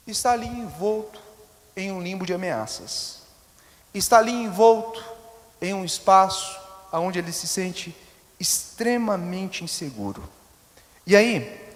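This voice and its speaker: Brazilian pastor guy, 40s, high voice, preaching w long church reverb. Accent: Brazilian Portuguese. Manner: storytelling with rhetorical asides to the congregation. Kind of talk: sermon. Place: church sanctuary.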